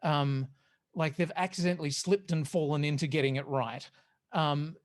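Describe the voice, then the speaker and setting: A podcast host chatting casually in a panel review, with a halting, wry, sweary way of talking. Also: mid-range voice, fairly even intonation.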